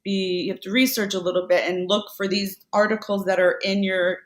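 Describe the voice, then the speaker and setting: A talkative woman on a podcast, chatting easily with asides and quick self-corrections. Her voice sits at an ordinary middle pitch. The room around her rings with noticeable echo. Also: fairly even intonation. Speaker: podcast guest, Amazon seller. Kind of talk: casual conversational interview.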